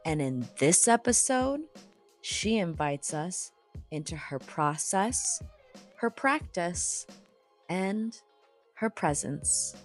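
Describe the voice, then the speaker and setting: A woman podcast host giving a poetic, deliberate introduction and asking interview questions. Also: on the low side, huge pitch swings, soft background music. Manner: slow, deliberate, solemn delivery.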